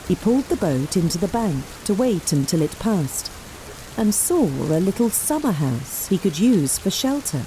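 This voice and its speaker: A woman narrating a scripted folk tale in an expressive, measured storyteller's manner. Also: on the low side, a little hiss or hum, poor audio.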